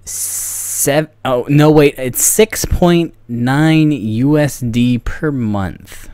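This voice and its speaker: A man's voice: mid-range voice, extremely slow, a little mellow, clear, resonant tone, dry recording. A young man chatting casually on a livestream, rambling and self-mocking in a distracted way. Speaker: video game livestreamer. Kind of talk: casual livestream chat to viewers. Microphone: microphone on a boom arm. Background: music.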